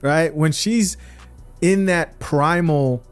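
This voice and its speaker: A man's voice: fairly high pitch, takes it slowly, clear, resonant tone, faint music behind. A young man from Zambia hosting a podcast, giving blunt, opinionated commentary straight to his viewers. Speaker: podcast host reacting to clips.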